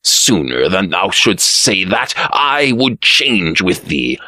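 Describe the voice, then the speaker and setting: A man's voice: low voice, very animated, takes it slowly, bright, forceful tone, no echo, heavily acted. A man voicing a proud, easily offended fairy-tale prince with indignant, archaic speech.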